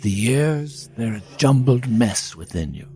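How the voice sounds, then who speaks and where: Slow, menacing villainous piper. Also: male voice, medium pitch, lively intonation, over music. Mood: gloating, hungry. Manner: slow, menacing, mock-courteous, savouring words. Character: sinister ancient piper, gloating, taunting child-stealing villain. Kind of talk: audio drama acting.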